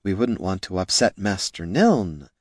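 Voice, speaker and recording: A man's voice: sits low, big pitch swings, unhurried, no echo, studio quality, full character voice. A man voicing a composed female ranger who speaks firmly and pointedly, in a fantasy audiobook. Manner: firm and pointed.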